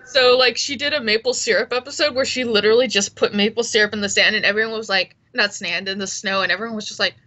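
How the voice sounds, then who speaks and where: A woman's voice: mid-range voice, fairly even intonation, fast, few pauses, bright, forceful tone. A Californian woman with a Californian accent chatting casually, rambling playfully and saying like a lot. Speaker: host. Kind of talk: casual chat.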